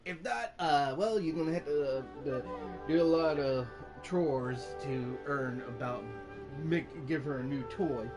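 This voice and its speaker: A man doing casual gameplay commentary as a channel host, in a halting, informal way. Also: a little high. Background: game music.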